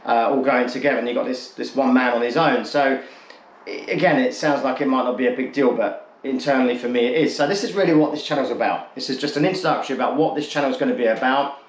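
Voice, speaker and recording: A man's voice: mid-range voice, fast talker. Echoey, candid man in his forties. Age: late 40s. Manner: candid, rambling, repeats and rephrases himself. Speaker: vlogger introducing his channel. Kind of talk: casual talk to camera. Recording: echoey untreated room.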